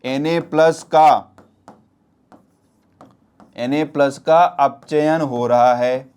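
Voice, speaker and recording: A man's voice: medium pitch, fairly even intonation, very slow, a little mellow, clean tone. A man teaching an exam-prep science lesson, repeating points and often checking with questions that students follow. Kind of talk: spoken classroom lesson.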